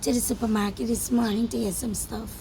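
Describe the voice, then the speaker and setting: A hoarse woman narrating a casual home cooking vlog, talking to her viewers as she makes breakfast. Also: fairly high pitch, fairly even intonation, a little fast. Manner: talks to viewers while cooking, calling them guys. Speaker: family vlogger. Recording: noisy home recording.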